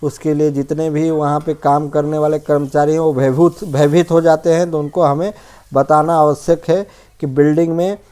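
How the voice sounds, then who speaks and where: Mellow higher-pitched man teaching. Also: flat delivery, moderate pace, smooth. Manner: explanatory, often rephrasing and defining terms. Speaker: fire safety instructor. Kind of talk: informal technical lesson, explaining numbered checklist points.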